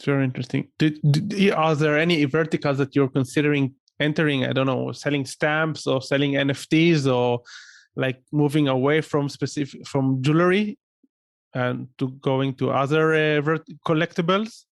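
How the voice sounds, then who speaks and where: A man's voice: on the high side, steady tone, moderate pace, no room sound. A man hosting an interview, asking questions with many fillers and hesitations. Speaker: podcast host, interviewer. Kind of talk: casual interview.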